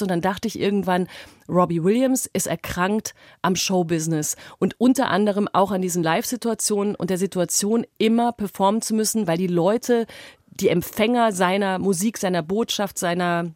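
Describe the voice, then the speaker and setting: A woman co-hosts a podcast in loose, chatty conversation, talking through a cold. She is self-deprecating and quick to laugh. Her voice sits at an ordinary middle pitch and is recorded dry and close. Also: darkish tone, no room sound. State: has a cold. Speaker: podcast co-host. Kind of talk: casual conversational podcast talk.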